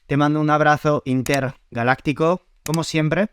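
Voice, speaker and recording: A man's voice: fairly high pitch, fairly even intonation, moderate pace, slight background noise. A man from France with a French accent, explaining nutrition science to camera in a friendly, step-by-step way with small fillers. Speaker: nutrition YouTuber.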